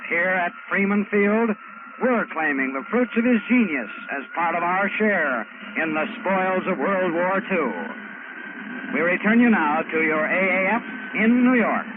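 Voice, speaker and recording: A man's voice: high voice, expressive, a little slow, noisy background. An American man giving a scripted, patriotic 1940s radio report in an earnest, declamatory manner. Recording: tinny 1940s radio broadcast, music behind. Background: music.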